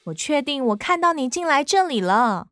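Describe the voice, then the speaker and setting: High, sing-song mother shark. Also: very animated, normal speed. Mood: gentle, playful. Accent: Taiwanese Mandarin. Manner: sing-song, coaxing, patient. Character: gentle, playful mother shark. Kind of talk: children's cartoon dubbing. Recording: children's music behind voice.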